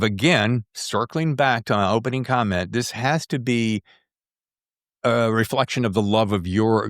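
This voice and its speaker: An American man hosting a conversational interview, reflective and halting as he circles back through his thoughts. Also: lowish voice, fairly bright tone, dry recording, clean studio sound. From American South. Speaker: podcast host interviewing an author.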